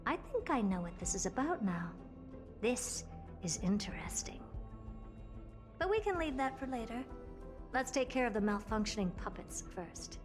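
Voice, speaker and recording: A woman's voice: on the high side, big pitch swings, moderate pace, pauses often. An actress playing a haughty, theatrical woman genius who makes puppets, pompous and self-assured in a scripted video game style. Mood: composed, intrigued. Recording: music behind the voice. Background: game music.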